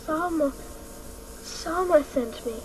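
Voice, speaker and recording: Radio play child: timid, earnest, high voice, slow delivery, faint noise. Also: female voice. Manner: pleading, earnest. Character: frightened, pleading young child from a lakeside village. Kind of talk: radio drama acting. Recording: faintly noisy radio drama recording.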